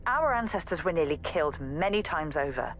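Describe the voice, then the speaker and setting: A woman's voice: low-pitched, expressive, a little fast, a little hiss or hum. An actress playing an exasperated, protective young woman assassin in a video game audio log, scolding and pleading emphatically. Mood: reasoning, insistent.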